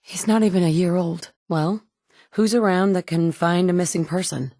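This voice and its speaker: A woman's voice: fairly low pitch, normal speed, dark tone, dry recording, studio quality. A woman voicing a determined young mother who searches a ruined world for her kidnapped son, blunt and dryly sarcastic, recorded as video game dialogue. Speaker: player character voice actress. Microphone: studio mic.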